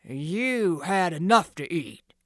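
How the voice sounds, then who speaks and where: A woman voicing a gruff male ringleader of thieves who growls and barks orders. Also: fairly low pitch, very animated, takes it slowly, bright, forceful tone, no room sound, studio quality, exaggerated voice. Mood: angry.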